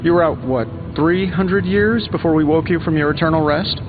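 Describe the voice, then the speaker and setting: Guy acting a cocky sarcastic armored hero inventor, game dialogue, kinda dull audio w loud music under. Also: on the high side, close to an everyday voice. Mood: breezy, wry. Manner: glib, sarcastic, quick-witted. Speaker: video game voice actor.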